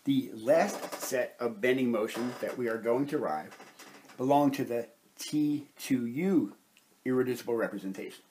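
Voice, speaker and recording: A man with a slightly husky voice giving a methodical, step-by-step explanation as a science instructor at a whiteboard. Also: mid-range voice, normal speed, a little mellow, slight background noise. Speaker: chemistry instructor.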